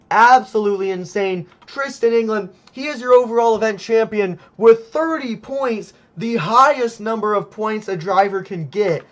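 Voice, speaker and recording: A male play-by-play commentator with a high voice who is losing it, going hoarse as he calls the action. He is hyped and keeps exclaiming, but his delivery stays unhurried. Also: fairly bright tone. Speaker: commentator for a simulated monster truck series.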